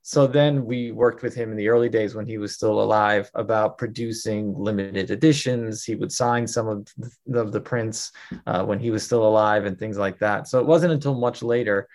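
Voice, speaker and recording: A man's voice: fairly low pitch, fairly even intonation, normal speed, a little mellow. A man answering interview questions at length, telling a life story fluently with many fillers. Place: New York.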